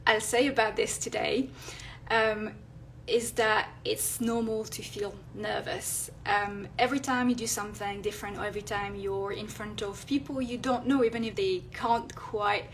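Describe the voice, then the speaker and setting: Woman, French accent, halting talk, full of fillers, mid-pitched voice, faint noise behind. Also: steady tone, poor audio. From France. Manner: hesitant, many fillers and restarts. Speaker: illustrator and vlogger. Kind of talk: live video chat. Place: indoors at home, near a street.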